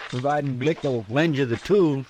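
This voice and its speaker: An actor playing a folksy, deferential deputy sidekick with respectful, homespun talk, in 1950s radio western style. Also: male voice, mid-range voice, moderate pace, a little mellow, close to an everyday voice. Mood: chatty. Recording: noisy old radio recording.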